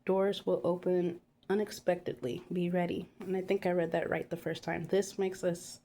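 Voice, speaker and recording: A woman with a stuffy nose from a cold, giving a calm, unhurried tarot card reading to her viewers with many pauses. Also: fairly low pitch, slight background noise.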